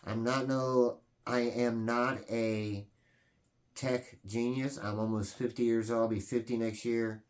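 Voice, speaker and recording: A man in his late forties speaks in a loose, rambling way, full of ums and restarts. His tone is earnest and plain, with a voice at an ordinary middle pitch for a man. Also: little pitch movement, measured pace. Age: 40s. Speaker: channel host. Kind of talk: casual vlog talk.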